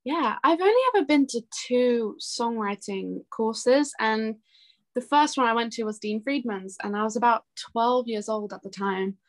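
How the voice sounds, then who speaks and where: A woman's voice: on the high side, moderate pace. A young woman talking casually as an interview guest, telling anecdotes in a chatty, giggly way. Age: young adult. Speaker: interview guest, singer-songwriter.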